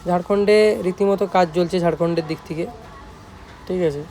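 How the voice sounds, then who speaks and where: Male shop-owner vlogger, high-pitched voice, West Bengal Bengali accent, informal repetitive patter, some ambient noise behind. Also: steady tone, brisk, a little mellow. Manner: informal, repetitive, checks in with listeners often. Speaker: speaker repair shop owner presenting his work. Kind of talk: casual product showcase vlog.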